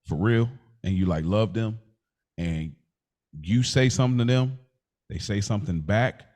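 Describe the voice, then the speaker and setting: Male podcast co-host, fairly low register. Conversational, slangy, circles around his point while thinking out loud. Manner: slangy, roundabout, thinking aloud.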